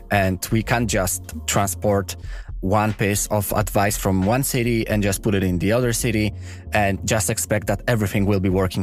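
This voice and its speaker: A man hosting a podcast, speaking English as a with as his first, introducing and asking questions in earnest, slightly formal phrasing with hesitations. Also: on the low side. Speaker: podcast host. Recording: faint music underneath.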